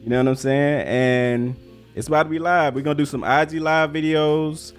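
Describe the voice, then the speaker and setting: A man's voice: on the high side, steady tone, normal speed, clean tone, soft background music. A man hosting a casual interview in a laid-back, slangy way. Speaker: interviewer, host. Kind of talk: casual chat.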